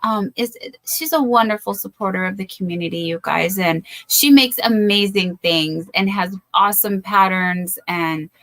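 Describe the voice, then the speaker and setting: A woman's voice: mid-range voice, normal speed, hardly any pauses, fairly bright tone. A woman hosting a casual crochet live stream from the United States, bubbly and chatty, thanking viewers by name.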